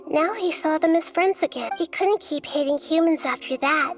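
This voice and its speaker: A woman voicing a small, young, wise and scholarly girl deity in a video game, calm, measured and articulate. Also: high-pitched, close to an everyday voice. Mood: thoughtful. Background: faint background music.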